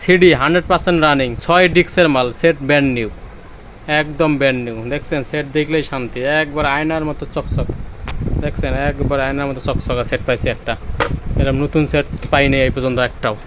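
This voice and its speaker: A man's voice: fairly high pitch, fairly even intonation, quick pace, noisy background. A man with a Bangladeshi accent giving a casual, repetitive sales demonstration of audio equipment.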